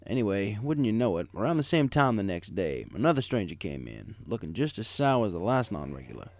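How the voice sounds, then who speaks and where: A man with a fairly low voice reads first-person fiction. His delivery is wry and conversational, and his pitch moves up and down in a lively way as he tells it. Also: a little fast, no room sound. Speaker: story reader. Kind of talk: first-person scripted fan fiction narration.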